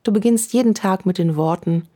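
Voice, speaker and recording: A woman guiding a meditation in a slow, calm, soothing voice, speaking affirmations to the listener. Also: medium pitch. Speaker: meditation guide. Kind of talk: guided meditation.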